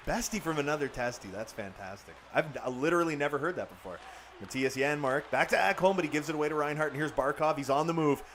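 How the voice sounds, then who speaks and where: A young man doing lively play-by-play of a hockey video game from his home setup. He is jokey and excitable, with a mid-range voice that jumps to loud bursts when a play heats up. A faint hum of room ambience sits under his voice. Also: mid-range voice. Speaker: gaming streamer doing play-by-play. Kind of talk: live-stream game commentary and chat reading.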